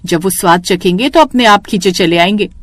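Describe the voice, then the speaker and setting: Cartoon village wife, assured and practical, female performer, very animated intonation, dry close sound. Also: mid-range voice, fairly quick, dry recording, close to an everyday voice. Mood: encouraging. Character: Megha, practical, confident young village wife who cooks. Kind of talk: Hindi cartoon moral story dialogue.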